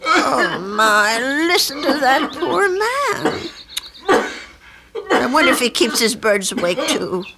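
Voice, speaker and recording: A woman's voice: high-pitched, sing-song, takes it slowly, over a noisy crowd, full character voice. An older woman acting in an old-time radio drama as a kindly, fretful elderly landlady. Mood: solicitous, concerned.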